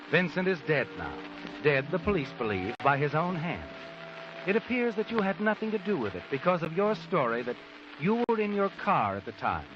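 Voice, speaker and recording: An actor playing a sardonic, knowing narrator in dramatic 1940s radio suspense style. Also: male voice, on the high side. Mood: mocking, knowing. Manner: insinuating, dramatic. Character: sardonic, knowing male narrator, needling the guilty. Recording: muffled old radio broadcast, music behind.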